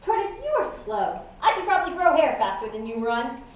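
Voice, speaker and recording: A woman's voice: high-pitched, very animated, a little fast. A woman playing a cocky, mocking rabbit in a readers' theatre fairy tale. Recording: echoey hall, distant mic, muffled, faint noise.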